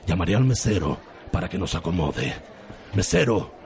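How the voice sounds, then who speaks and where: Melodramatic doting leading man, low voice, florid delivery, radio parody. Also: very animated, normal speed, creaky, darkish tone, a little hiss or hum, heavily acted. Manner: courtly, florid. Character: doting, melodramatic telenovela gentleman lover. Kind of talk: parody radio soap opera acting.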